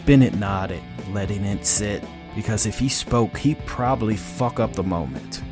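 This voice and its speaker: Low-voiced man narrating over guitar music. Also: normal speed. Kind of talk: scripted dramatic story narration.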